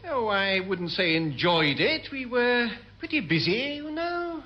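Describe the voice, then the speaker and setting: An actor playing a vague, evasive, genially superior naval first officer with an upper-class English accent in an old radio sitcom. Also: male voice, very high-pitched, sing-song, a little slow, muffled recording, exaggerated voice. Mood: evasive, airy. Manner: dithering, airy, evasive. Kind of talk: 1950s-60s British radio sitcom acting. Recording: noisy, hissy old radio comedy recording.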